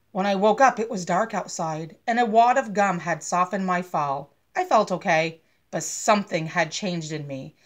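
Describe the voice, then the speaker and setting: A woman reads as a storybook bad seed, a moody troublemaker trying to reform. The delivery is slow and dramatic, at a middling pitch, pitched for a children's picture book. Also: natural voice. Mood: dramatic recalling.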